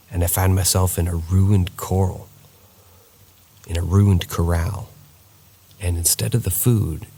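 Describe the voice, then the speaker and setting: Male narrator for true scary stories: deep, gravelly and husky, steady and somber. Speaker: narrator reading viewer stories.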